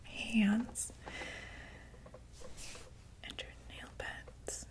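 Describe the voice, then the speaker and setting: A woman whispering breathily in an ASMR medical roleplay, explaining things as a calm doctor in a gentle, hesitant, clinical way. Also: drawn-out words. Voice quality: breathy, mostly unvoiced whisper. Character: calm, attentive doctor to monsters.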